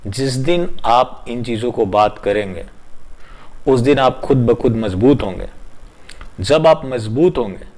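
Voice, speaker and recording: A male commentator with a mid-pitched voice addresses viewers directly on political matters. He speaks emphatically and accusingly, often posing rhetorical questions to press his point. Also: a little slow, darkish tone. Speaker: online commentator.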